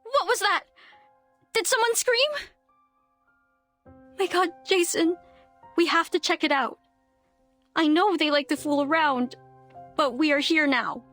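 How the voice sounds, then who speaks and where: A woman voice actor playing a frightened, high-strung young woman in a horror scene from a video game, with an anxious, dramatic delivery. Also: unhurried, long pauses, fairly bright tone, faint music behind. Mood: alarmed.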